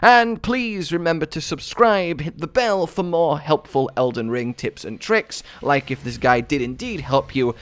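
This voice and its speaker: A man's voice: on the high side, a little slow, few pauses, no echo. A man giving an enthusiastic video game guide voice-over in a chatty, wordy, playfully dramatic way.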